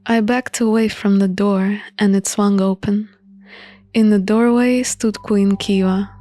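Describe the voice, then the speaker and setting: A woman narrates a visual novel in the first person. Her pace is slow and deliberate, at a medium pitch, and the sound is close and dry. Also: fairly even intonation, dark tone, no room sound.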